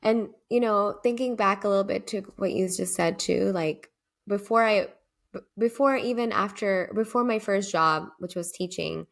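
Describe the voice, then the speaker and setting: A woman's voice: mid-range voice, normal speed, gravelly. A young woman whose first language is Urdu, co-hosting a podcast in a chatty, reflective way with lots of fillers. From United States. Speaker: podcast co-host. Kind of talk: casual chat.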